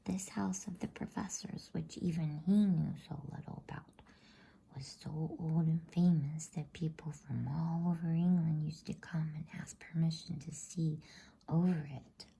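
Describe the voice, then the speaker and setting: A woman reads a bedtime story in a soft ASMR whisper. Her voice is very breathy and low, with a mellow tone. She takes her time, soothing and hushed. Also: fairly even intonation. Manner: soothing, hushed, drawn-out delivery.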